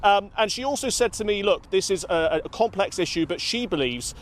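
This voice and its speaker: A man with a British accent reporting the news in a measured, emphatic broadcast style, both scripted and live. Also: high-pitched, expressive.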